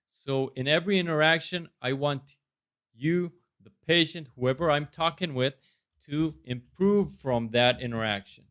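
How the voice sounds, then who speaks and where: A man's voice: a little high, measured pace, fairly bright tone, clear, resonant tone. Earnest Costa Rican-accented man. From Costa Rica. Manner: earnest, self-assured. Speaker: residency applicant. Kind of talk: mock interview answers to camera.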